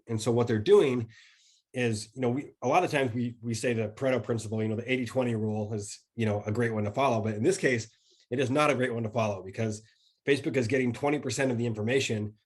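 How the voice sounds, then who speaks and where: Male podcast guest, thirties, mid-pitched, voice starting to give out, casual explainer on a video call. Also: husky. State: starting to lose his voice. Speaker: podcast guest, e-commerce expert. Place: indoor room at home. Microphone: video call setup.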